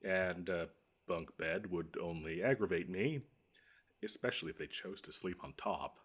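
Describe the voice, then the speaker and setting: Audio drama: pompous, grumbling male bed monster, man performing, low rough register, sardonic and menacing. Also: a bit bright. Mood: dry, scheming. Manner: pompous, wordy, drawn-out menace with dry sarcasm. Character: grumpy, pompous male monster lurking under a child's bed. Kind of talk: scripted audio roleplay monologue.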